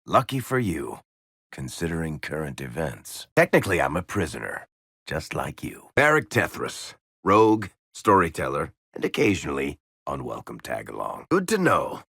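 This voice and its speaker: A man's voice: a bass voice, very animated, moderate pace, gravelly, a bit bright, slightly rough, no room sound, clean studio sound, exaggerated voice. A man playing a sardonic, teasing dwarf rogue and storyteller in a video game. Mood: wry. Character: wry dwarf rogue storyteller, shifty charming smuggler type. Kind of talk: video game character dialogue.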